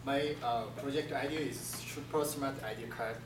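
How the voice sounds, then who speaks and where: A man's voice: mid-range voice, steady tone. Korean-accented man presenting in echoey classroom. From South Korea. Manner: halting, many restarts, simple phrasing. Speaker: student presenter. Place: classroom with projector screen. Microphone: far camera. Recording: echoey room from far off, room hiss.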